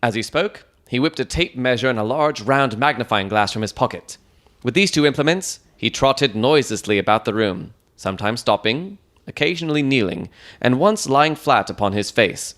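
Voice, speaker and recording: A man's voice: mid-range voice, lively intonation, normal speed, fairly bright tone. A man reading scripted first-person narration for a dramatized detective story.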